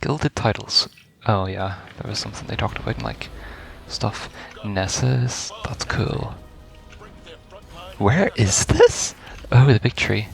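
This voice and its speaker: A man's voice: lowish voice, animated, slow pace, vocal fry, faint music behind. A man giving casual live reaction commentary as a gaming video creator.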